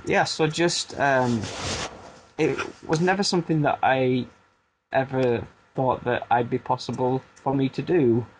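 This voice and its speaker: A man's voice: medium pitch, a little slow, poor audio. A man in his thirties with a thick Barnsley Yorkshire accent talks casually and self-deprecatingly as an interview guest. He circles and restarts his thoughts. A faint noise floor sits under his voice. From Barnsley, England. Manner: rambling, self-deprecating, frequent restarts and fillers. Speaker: music producer turned coach.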